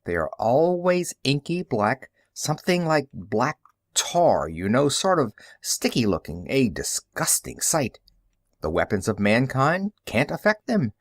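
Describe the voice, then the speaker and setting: Young male reporter read by a man: earnest, skeptical, emphatic, animated audiobook dialogue. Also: mid-range voice, unhurried, no room sound, clean studio sound. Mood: earnest, grave. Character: young newspaperman, earnest, skeptical, matter-of-fact.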